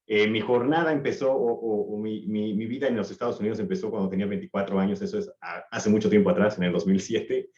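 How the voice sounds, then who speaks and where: A man in his thirties with a lowish voice speaks on a video call, warm but rambling, stumbling over restarts. His audio comes through echoey and dull. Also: steady tone, quick pace, hardly any pauses. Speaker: panelist, recruiter.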